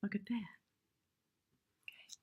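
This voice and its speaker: A woman with a hoarse voice giving a live spiritual tarot reading in measured, reverent short phrases. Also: in a whisper, narrow, tinny audio. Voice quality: husky, breathy. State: hoarse from much talking. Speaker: tarot reader, live stream host.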